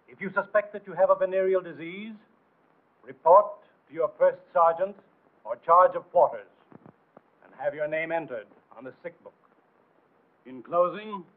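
A man narrating a 1940s military training film in a formal, authoritative, didactic and emphatic scripted style. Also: a little high. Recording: noisy, tinny 1940s film soundtrack.

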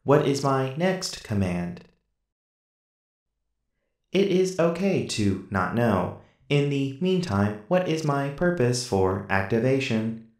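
Companion android, male actor: literal, stiff and courteous, unhurried delivery, sounding distant from the mic. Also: mid-range voice, very animated, darkish tone. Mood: deadpan, obliging. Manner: stiff, formal, cold and robotic. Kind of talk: ASMR sci-fi roleplay. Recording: roomy echo, distant from mic.

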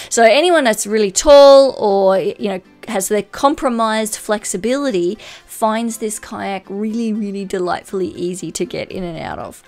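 Chatty woman explaining a kayak. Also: medium pitch. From Australia. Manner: enthusiastic, chatty, with fillers and asides. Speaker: kayak shop presenter. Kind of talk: product walkthrough over slides. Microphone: voice-over.

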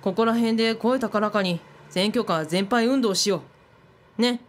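A woman's voice: medium pitch, normal speed, a little hiss or hum, lightly acted. A woman playing an opinionated, blunt eighteen-year-old youngster in a radio drama. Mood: indignant. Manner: blunt, grumbling.

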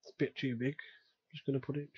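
A man giving an unscripted software tutorial in a casual way, thinking aloud step by step as he works. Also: mid-range voice, level tone, fast, breathy edge, no echo, lo-fi sound. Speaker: tutorial presenter. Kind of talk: unscripted screen-recorded software tutorial narration.

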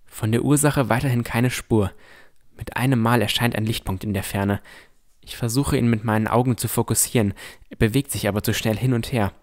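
A man's voice: mid-range voice, steady tone, a little fast. A man reading scripted first-person narration as the narrator of a fantasy audio drama.

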